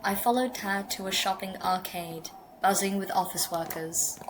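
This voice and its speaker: A woman voicing a reserved young male office worker in a game, speaking flatly and wryly. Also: mid-range voice, moderate pace, noisy background, pitched below the performer's own voice, close to an everyday voice. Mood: dry.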